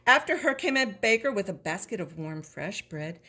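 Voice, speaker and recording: A woman's voice: medium pitch, sing-song, moderate pace, pushed and bright. Woman reading a children's story expressively. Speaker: storybook reader.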